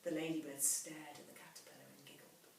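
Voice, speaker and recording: A woman reading a children's story aloud like a teacher, dramatically, with hushed, drawn-out phrase endings. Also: low voice, flat delivery, vocal fry, far from the mic. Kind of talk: expressive children's storybook narration. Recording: very echoey room, off mic, faint hiss.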